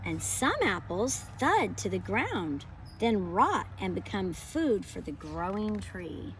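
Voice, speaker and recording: A woman speaks as a homeschool teacher presenting to young children. Her delivery is emphatic and storybook-like, with pitch that moves around in a lively way. Faint ambient noise sits behind her voice. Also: slow, rough recording. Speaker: homeschool teacher hosting a read-aloud. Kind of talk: children's picture book read-aloud and lesson presenting.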